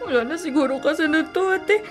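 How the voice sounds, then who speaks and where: An actress playing a sorrowful, plaintive young daughter and jilted bride in a radio drama. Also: female voice, high voice, a little fast, lightly acted. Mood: bitter grief. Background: music.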